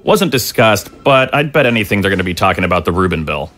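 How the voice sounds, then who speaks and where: Man acting a low-voiced, agreeable aide. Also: animated, quick pace, a bit bright, faint music behind. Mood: calm, obliging. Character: agreeable, practical male aide to a political leader. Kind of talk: conversational audio drama acting.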